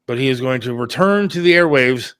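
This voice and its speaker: Male talk host, mid-range pitch, informal and plain-spoken, very dry sound. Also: animated, a little fast, fairly bright tone, clean tone, no room sound. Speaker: radio producer and talk show host. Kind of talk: casual chat about an upcoming radio show.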